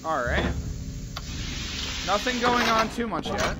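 Young man, twenties, hoarse, loud sweary gaming commentary, high-pitched. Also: sing-song, takes it slowly, very noisy. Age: 20s. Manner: swears often, reacts loudly, jokey. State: hoarse from sore throat. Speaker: gaming YouTuber. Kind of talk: casual let's play commentary. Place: small bedroom. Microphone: desk mic, webcam in corner.

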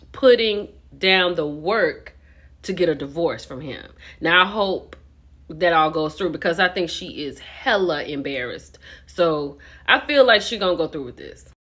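An American woman gives casual gossip commentary in a conversational, opinionated way. Her voice is bright and forward, pitched a little low, and she leans on key words for emphasis. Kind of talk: casual vlog recap and commentary.

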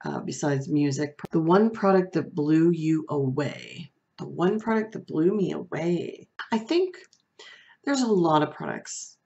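A middle-aged woman with a soft, comforting voice, vlogging to camera from a room at home, reflective and hesitant, with fillers and restarts. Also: low voice, big pitch swings, unhurried, vocal fry, muffled recording. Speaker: beauty vlogger. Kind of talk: casual talk to camera. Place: small room at home, indoors.

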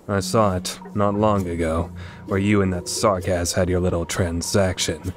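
A man plays a proud, aloof young swordsman from a game. He speaks in a fairly low, brooding voice with formal, terse phrasing. Clear background music runs under him. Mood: cold, intense. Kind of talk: fan dub of game dialogue.

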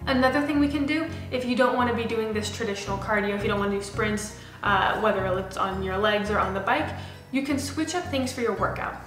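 A woman's voice: medium pitch, a little fast, fairly bright tone. A woman, explaining fitness training to camera in a casual, direct way as a coach. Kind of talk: explanatory talking-head fitness advice to camera. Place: small bare room indoors with a window. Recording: roomy indoor echo, music underneath. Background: background music.

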